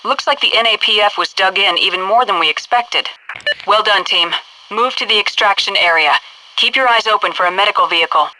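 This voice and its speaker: An actress playing a composed, commanding headquarters officer who gives crisp, businesslike mission orders over military radio in a video game. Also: female voice, mid-range voice, fairly quick, pushed and bright, a little hiss or hum, poor audio. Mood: approving.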